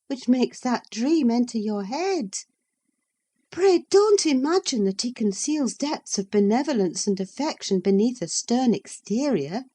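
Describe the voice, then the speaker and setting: A woman acting a haughty young lady, high, emphatic, scornful, lively storybook voice. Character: proud, scornful young woman, blunt and forceful.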